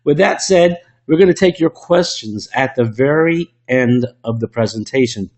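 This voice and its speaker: A man with a slightly rough voice from illness, presenting an online webinar into a computer mic in a salesy way with frequent restarts. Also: medium pitch, lively intonation, moderate pace, darkish tone. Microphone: computer microphone.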